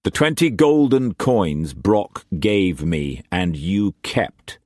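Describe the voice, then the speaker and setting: A man voicing a shrewd, fatherly older Scottish trader who speaks in a measured, gruff way. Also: low voice, animated, darkish tone, no echo, studio quality, exaggerated voice. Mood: stern. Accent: Scottish put-on.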